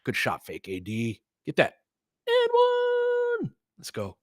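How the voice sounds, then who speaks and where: A man's voice: very high-pitched, wildly swooping pitch, slow, no echo, clean studio sound. A man hosting a live basketball stream, giving casual, analytical commentary and chatting with viewers in between short reactions to the play.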